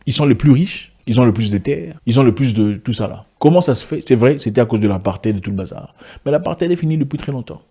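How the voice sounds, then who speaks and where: A man's voice: mid-range voice, animated, fast talker, warm tone, breathy edge. A man whose first language is an African language, giving an impassioned monologue to camera with rhetorical questions and frequent restarts. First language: not French; an African language. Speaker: online video commentator.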